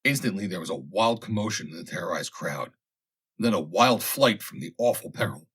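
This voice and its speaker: A man reads a comic vintage story aloud as scripted narration, in a measured, dramatic storytelling manner with deliberate pauses. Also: deep voice, animated, a bit bright, husky. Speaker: audiobook reader.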